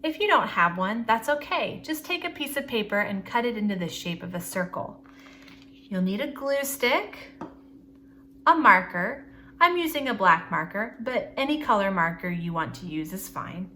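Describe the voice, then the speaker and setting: A woman's voice: medium pitch, lively intonation, moderate pace, sharp tone. A woman hosting a storytime, speaking simply and expressively to young children.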